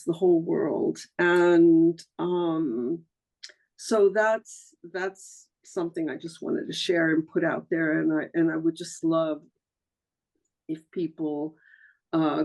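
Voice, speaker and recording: A woman from Argentina on a video call, speaking earnestly and haltingly with many pauses and fillers. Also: low voice, gravelly, darkish tone. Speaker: audience member on a video call. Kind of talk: casual chat.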